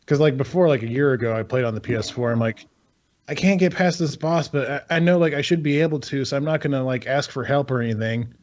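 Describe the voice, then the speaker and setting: A man's voice: medium pitch, fairly even intonation, quick pace, darkish tone, dull audio. A man chatting casually about video games as a podcast co-host, rambling, swearing freely and sounding incredulous. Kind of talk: casual video game chat.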